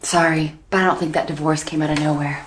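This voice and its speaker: A woman's voice: low-pitched, flat delivery, quick pace, lightly acted. A woman playing a polite but judgmental doctor with a dry, pointed manner in a comedy skit. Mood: reproachful. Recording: roomy echo, background noise.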